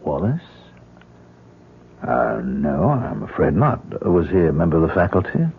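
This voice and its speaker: Very deep, gravelly sinister professor. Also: male voice, sing-song, exaggerated voice. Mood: courteous, guarded. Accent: American. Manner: measured, formally polite. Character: smooth, courteous, secretly sinister male professor. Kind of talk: old-time radio drama acting. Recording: noisy, muffled vintage radio recording.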